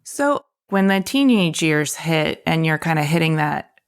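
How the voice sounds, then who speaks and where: A woman's voice: deep voice, animated, unhurried, clear, resonant tone. A woman hosting a conversational podcast interview, asking questions with enthusiasm and curiosity. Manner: enthusiastic, inquisitive.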